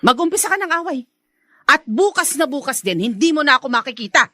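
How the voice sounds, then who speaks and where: An actress playing a defiant, manipulative wife in a Filipino radio drama, haughty and domineering, with a Filipino accent. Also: female voice, sits high, expressive, a little fast, pushed and bright, no room sound. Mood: defiant, irritated. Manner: haughty, scolding.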